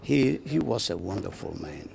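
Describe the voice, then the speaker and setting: A man giving an unscripted news interview, with halting phrasing. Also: on the low side, big pitch swings, gravelly, background noise. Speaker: interviewee, friend of the deceased. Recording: crowd chatter behind.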